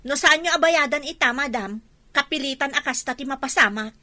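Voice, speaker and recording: A woman's voice: sits high, fairly quick, pushed and bright, dry recording, studio quality. A woman with a Filipino accent playing a proud, earnest young vendor who stands firm, in a radio drama. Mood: insistent. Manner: earnest, firm when wronged. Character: proud young woman vendor, poor, dutiful but defiant.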